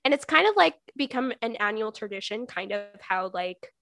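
A woman's voice: fairly high pitch, expressive, moderate pace, gravelly, bright, forceful tone, no room sound, clean studio sound. A woman in her early twenties, whose first language is Punjabi, chatting casually as a podcast guest and reflecting as she talks. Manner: chatty, reflective, lots of "like" and "right?".

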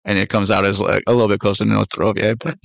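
A young man co-hosting on radio, telling a story in a relaxed, rambling way full of fillers. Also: lowish voice, fast, vocal fry, no room sound, studio quality. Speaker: radio co-host. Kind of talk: casual storytelling.

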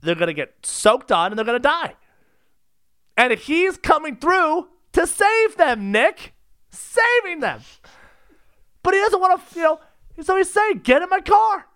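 A man's voice: extremely high pitch, very animated, unhurried, long pauses, a bit bright, no room sound, clean studio sound. A man co-hosting a comedy podcast in casual banter, theatrical, exaggerated and given to mock indignation.

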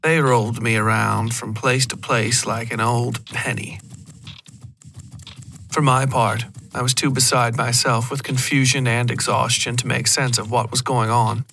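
A man reading a scripted horror story as a podcast narrator, in a measured, literary delivery with deliberate pauses. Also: mid-range voice, vocal fry, fairly bright tone, a little hiss or hum.

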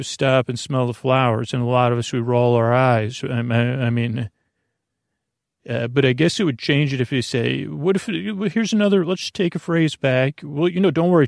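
A man hosting a bedtime podcast in a rambling, halting, soothing monologue full of digressions. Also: mid-range voice, steady tone, vocal fry, no echo, studio quality. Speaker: podcast host.

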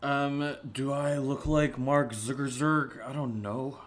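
An actor playing a dazed, sarcastic young man in a naturalistic horror audio drama, speaking haltingly with sighs. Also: medium pitch, steady tone, lightly acted. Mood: irritated. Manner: halting, hesitant, sighing.

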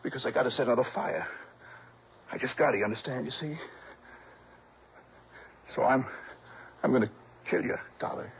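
A man playing an unhinged, compulsive arsonist in a 1960s radio drama, agitated, halting and repeating his threats. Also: medium pitch, vocal fry, lightly acted. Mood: menacing, obsessive. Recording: muffled old radio recording, faint noise.

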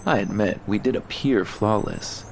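A man's voice: on the low side, moderate pace. A man playing a wry, suspicious lover on the run in an audio fiction drama. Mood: suspicious, accusing. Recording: reverberant, clearly noisy ambient sound scene.

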